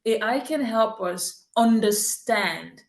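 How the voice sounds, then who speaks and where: A woman's voice: fairly high pitch, expressive, slow, echoey room. A woman from Cameroon, a marketing executive answering interview questions earnestly, often rephrasing herself mid-sentence.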